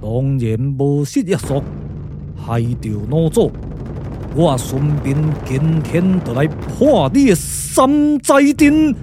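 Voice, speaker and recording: A man's voice: fairly high pitch, lively intonation, takes it slowly, background noise. A man voicing a dignified, defiant military strategist in a Taiwanese puppet radio drama.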